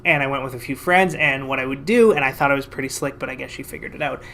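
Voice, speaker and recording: A man from Canada, a financial advisor, telling a story to camera in a casual, lively, self-mocking way. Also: mid-range voice, fast talker.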